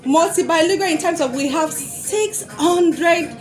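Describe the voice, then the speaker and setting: A woman's voice: extremely high pitch, a little slow, over music. A woman in her thirties from Nigeria with a Nigerian accent, presenting cheerful facts about her country to children over a webcam at home. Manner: upbeat, leads with prompts. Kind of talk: explaining to children. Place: home room with photos on wall. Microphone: video call webcam.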